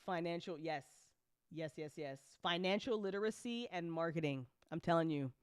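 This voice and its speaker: A woman hosting a casual livestream chat as an audio drama creator, animated and joking, with informal, sweary talk full of y'all. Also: lowish voice, normal speed, clear, resonant tone, dry recording, clean studio sound. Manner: informal speech with frequent y'all. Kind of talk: casual livestream chat answering audience questions.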